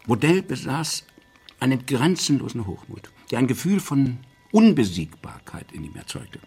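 An actor playing an inquisitive, eccentric psychiatrist in a German radio crime drama, speaking deliberately and theatrically. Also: male voice, mid-range voice, big pitch swings, gravelly, a little mellow, slightly rough, soft background music, heavily acted. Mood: grave, emphatic. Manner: theatrical, deliberate, fond of tag questions. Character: inquisitive, eccentric male psychiatrist, measured and probing.

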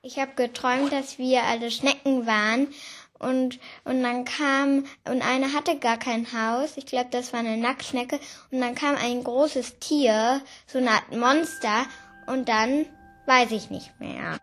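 A woman recounting something in a simple, halting way. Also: sits high, steady tone, fairly bright tone. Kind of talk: halting recollection.

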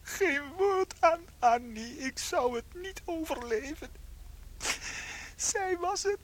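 A male actor playing a tiny shrunken husband in a radio play, meek and tender, slow and hesitant, with a pitched-up voice. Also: lively intonation, exaggerated voice. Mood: plaintive, confessing.

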